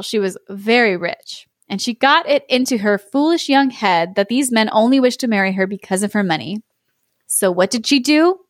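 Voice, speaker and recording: A woman voicing a sly, melodramatic male cat in a storybook read-aloud, mournful and drawn-out in manner. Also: on the high side, no echo, clean studio sound, natural voice.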